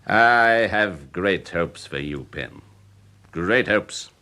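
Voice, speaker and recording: An actor playing a worldly, pompous older uncle with an upper-class English accent, speaking deliberately in period radio drama style. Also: male voice, deep voice, lively intonation, pushed and bright, heavily acted. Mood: proud, fond. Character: worldly, pompous older gentleman uncle, proud of his nephew.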